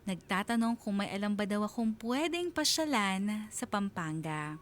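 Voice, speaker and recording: A woman playing a reflective single mother, telling her love story in earnest, sentimental, deliberate phrases like a confessional radio drama. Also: medium pitch, lively intonation, a little hiss or hum, lightly acted. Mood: guarded, surprised. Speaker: narrator of a radio drama letter.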